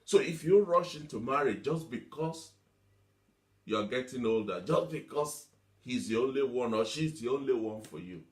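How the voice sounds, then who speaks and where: A man's voice: medium pitch, lively intonation, moderate pace. A man preaching a motivational teaching sermon, emphatic, repeating himself and asking rhetorical questions. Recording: echoey room.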